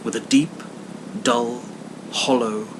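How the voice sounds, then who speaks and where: A man giving a theatrical, deliberate storybook narration with emphatic pauses. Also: steady tone. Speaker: audiobook reader. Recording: noisy amateur recording with rumbling hum.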